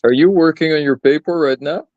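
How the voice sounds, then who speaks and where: A man with a calming voice, chatting casually and thoughtfully as a co-host. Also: on the high side, fairly quick, no echo. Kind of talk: casual chat. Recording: dull lo-fi audio.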